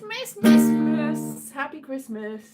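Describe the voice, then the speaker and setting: A woman's voice: high voice, sing-song, slow, over loud music. A British woman hosting a casual livestream, rambling in a whimsical, theatrical way. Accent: British English. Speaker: livestream host, voice actor. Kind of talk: rambling casual livestream chat with bits of singing.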